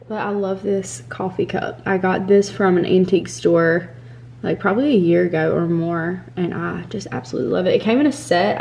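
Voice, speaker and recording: A woman's voice: mid-range voice, a little mellow, a little hiss or hum. A young woman, talking casually in a home vlog, a little stuffy from a cold, rambling and upbeat. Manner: rambling, upbeat, filler-heavy. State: congested from a cold. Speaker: vlogger. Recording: roomy home echo.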